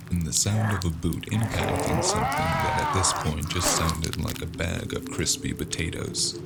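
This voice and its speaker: An actor playing a weary, battered investigator narrating a first-person noir audio drama in a gravelly voice. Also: male voice, low voice, heavy background noise, exaggerated voice. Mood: grim, wry.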